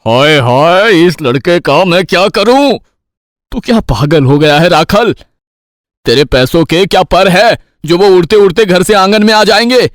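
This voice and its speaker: A man's voice: high-pitched, expressive, a little slow, no room sound, clean studio sound. A man voicing an exasperated, grumbling village father in a Hindi cartoon story, scolding and doubtful. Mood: angry, exasperated.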